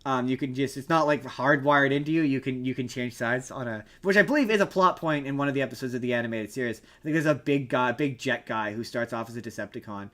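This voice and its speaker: A man's voice: mid-range voice, fast talker, few pauses, a bit bright. A man casually discussing movies as a podcast host, emphatic and opinionated in how he argues his points.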